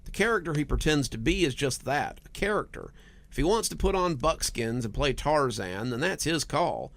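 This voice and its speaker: An unbothered local police officer in a horror story, read by a man. He is dismissive and matter-of-fact, with lively intonation and some fry in his voice. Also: on the high side, normal speed, dry recording.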